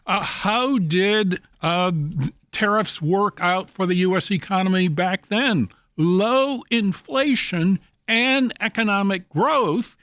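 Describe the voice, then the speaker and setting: A man speaking as an expert commentator in an interview, halting and deliberate as he explains economics. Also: sits high, fairly bright tone, no room sound, clean studio sound.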